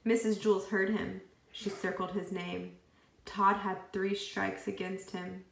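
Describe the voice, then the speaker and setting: A woman reading a children's book aloud expressively, with deliberate phrasing and acted dialogue. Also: mid-range voice, level tone, creaky, echoey room, low-quality recording. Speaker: read-aloud storyteller.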